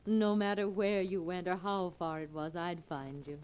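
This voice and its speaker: A woman's voice: lowish voice, fairly even intonation, moderate pace, darkish tone, smooth, close to an everyday voice. An actress playing a smothering, devoted wife, sweetly imploring in a theatrical 1940s radio style.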